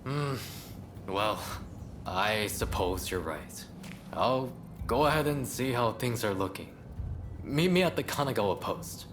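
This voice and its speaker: An actor playing an earnest, cautious samurai scholar in a video game, speaking formally and articulately. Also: male voice, on the low side, expressive, unhurried, background noise. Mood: wary, reluctant. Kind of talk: video game cutscene acting. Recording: background music under the voice.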